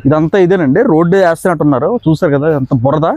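High-pitched young man vlogging fast outdoors. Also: mellow, no room sound, highs cut off. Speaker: travel vlogger. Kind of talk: casual vlog chat to camera. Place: outdoors on forest trail and by waterfalls. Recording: outdoor handheld recording with background noise.

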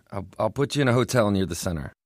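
An actor playing a weary, evasive addicted husband in a radio drama. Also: male voice, lowish voice, animated, moderate pace, faint music behind, lightly acted. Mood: uneasy.

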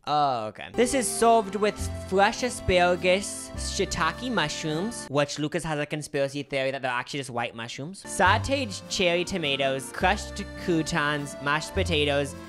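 Animated young man reviewing food. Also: a little high, normal speed, hardly any pauses, music in the background. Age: young adult. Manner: playful, animated, jokey. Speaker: host. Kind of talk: casual food review chat. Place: small room, seated at a table. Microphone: handheld mic.

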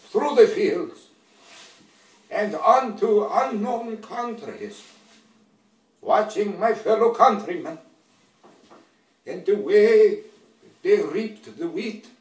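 A man's voice: high voice, a little mellow, full character voice. A man playing a nostalgic old patriot who lectures children with broken grammar. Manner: slow, solemn, broken grammar. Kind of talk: comedy monologue. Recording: echoey room, distant from mic.

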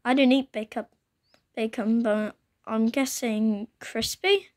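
A young woman with a croaky voice chatting casually as she plays a computer game, recorded on a phone she holds up to her laptop screen at home. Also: on the high side, steady tone, takes it slowly, darkish tone. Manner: chatty, exclaims often. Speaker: gaming channel host. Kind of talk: casual gameplay commentary. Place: indoors at home, rain outside. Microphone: phone held while filming a laptop screen.

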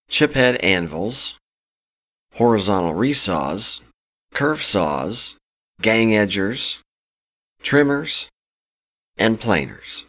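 A man reading a scripted instructional product narration in a measured, explanatory manner. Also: on the low side, fairly bright tone.

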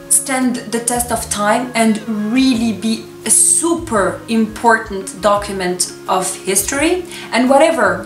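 A woman in her twenties, a native Arabic speaker, casually recommending books to camera in an expressive, gushing, emphatic manner. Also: fairly high pitch. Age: 20s. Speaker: book reviewer and vlogger. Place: small furnished room with books, indoors. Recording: echoey room, distant camera microphone.

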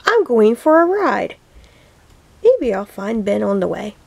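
A woman's voice: mid-range voice, sing-song, unhurried, creaky, a little mellow, husky, exaggerated voice. A woman voicing an eager, playful young male puppy from a storybook, in a cheerful, childlike manner. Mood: excited.

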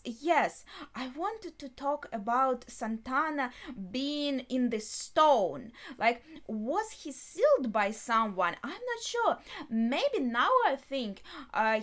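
A young adult woman giving casual, unscripted reaction commentary, thinking aloud with hesitant pauses mid-thought. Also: on the high side, fairly bright tone. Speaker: reaction video host. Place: small furnished bedroom, indoors.

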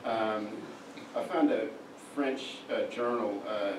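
Man, Oklahoma accent, halting lecture full of ums, echoey hall, distant noisy recording. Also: fairly low pitch. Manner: halting, many fillers and restarts. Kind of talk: slide lecture. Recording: echoey room from far off, noisy.

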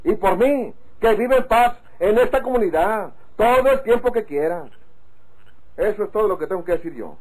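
A man's voice: sits high, lively intonation, normal speed, low-quality recording. An actor playing a blunt, proud, honest rural man with a Mexican accent in an old radio drama, speaking insistently. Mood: firm. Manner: emphatic, insistent.

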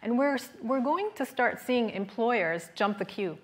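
A woman in her forties answering audience questions in a large lecture hall, measured and earnest, pausing with fillers, on a lapel mic. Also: fairly high pitch, expressive. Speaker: keynote speaker. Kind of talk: conference Q&A answers.

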